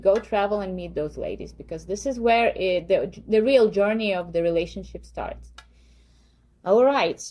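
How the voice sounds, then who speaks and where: A woman's voice: mid-range voice, dark tone. A young woman from western Ukraine with a Ukrainian accent, hosting a live webcast and giving friendly advice with lots of fillers. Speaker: dating agency host.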